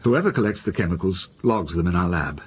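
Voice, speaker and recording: A man's voice: sits low, lively intonation, brisk, slight background noise. An actor playing an anxious, defensive university professor in a radio drama, hesitant and flustered as he protests. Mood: defensive, uneasy. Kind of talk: naturalistic radio drama acting.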